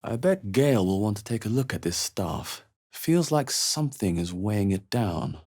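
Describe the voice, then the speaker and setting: A male video game adventurer with a fairly low, husky voice. He is observant and wry, musing in a measured, understated way, yet his pitch moves in lively turns. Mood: curious, observant. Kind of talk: video game voice acting, short reactive lines.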